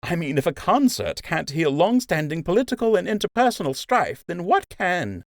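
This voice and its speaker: A man voicing a brisk, wry young female rabbit healer in an audiobook, reassuring and drily sarcastic. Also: high voice, big pitch swings, vocal fry, no room sound, studio quality, voice pushed up, heavily acted. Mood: playful sarcasm.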